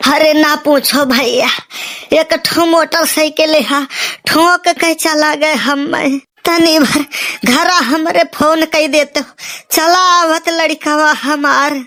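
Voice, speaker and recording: Brief: male actor as moaning, hurt cartoon uncle, Awadhi accent, high bright voice, slow delivery. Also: steady tone, exaggerated voice. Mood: pained. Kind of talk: amateur comic cartoon dub in dialect.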